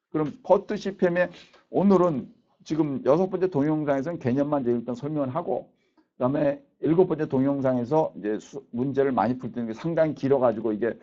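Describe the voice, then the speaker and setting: A man's voice: mid-range voice, darkish tone. A man in his fifties lecturing as a university professor, explaining concepts didactically and repeating key points. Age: 50s. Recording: dull lo-fi lecture recording.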